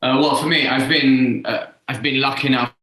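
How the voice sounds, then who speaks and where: A man's voice: medium pitch, steady tone, normal speed, a bit bright. A man from Italy, a film director answering on a festival panel, tentative and leaning on "like" and "kind of". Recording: echoey room.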